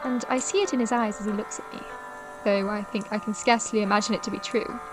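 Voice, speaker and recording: An actress playing a wistful, overlooked young sister in a period audio drama, with polite, formal and expressive speech. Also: female voice, mid-range voice, quick pace, natural voice, low-quality recording. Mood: wondering, yearning. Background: music.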